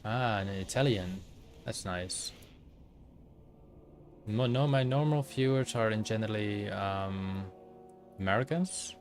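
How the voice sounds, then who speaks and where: Low-voiced Dutch man streaming a game. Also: faint music behind. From Netherlands. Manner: halting, thinks aloud with many fillers and restarts. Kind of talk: casual livestream commentary while gaming.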